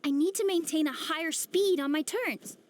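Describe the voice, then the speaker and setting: A woman voicing an earnest, excitable young girl training to fly, over game music. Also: very high voice, normal speed, slight background noise. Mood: eager.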